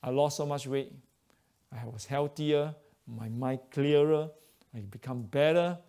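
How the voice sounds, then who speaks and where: A man's voice: medium pitch, a little slow, smooth. Malaysian man preaching in broken English. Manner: broken English, animated storytelling. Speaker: preacher giving testimony. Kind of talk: testimony sermon.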